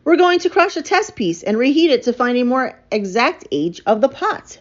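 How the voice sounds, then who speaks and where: A woman voicing an eager young male archaeologist in a children's storybook, explaining things excitedly. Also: sits high, moderate pace, dull audio, voice pushed up. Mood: keen, explaining.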